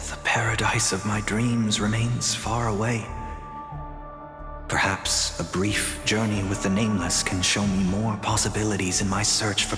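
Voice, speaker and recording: A man playing a serene, idealistic young dreamer in a video game, speaking formally in a soft, reflective way. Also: fairly low pitch, natural voice. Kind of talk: video game story dialogue. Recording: reverberant echo, music behind.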